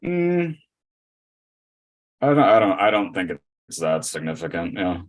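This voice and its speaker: Male podcast guest, very low voice, laconic, hesitant, casual. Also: very animated, unhurried. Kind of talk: casual chat.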